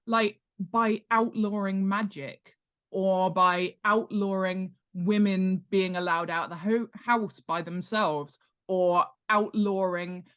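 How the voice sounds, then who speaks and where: A woman speaking as an interview guest, explaining her craft conversationally in an enthusiastic, emphatic way, with an easy laugh. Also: mid-range voice. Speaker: interview guest, world-building expert and author.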